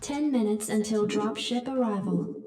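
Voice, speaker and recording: A woman's voice: a little high, normal speed, warm tone, close to an everyday voice. A woman voicing a formal, detached military computer adjutant, giving clipped announcements in a game briefing. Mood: impassive, official. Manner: clipped, impassive. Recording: echoing, distant, music behind.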